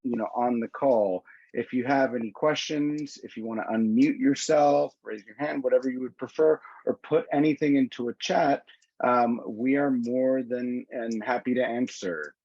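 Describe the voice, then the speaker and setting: A man's voice: medium pitch, steady tone, normal speed, darkish tone, no room sound. Man on a dull video-call line. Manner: casual, many fillers and restarts. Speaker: tour organizer. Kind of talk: webinar presentation. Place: indoors in a city.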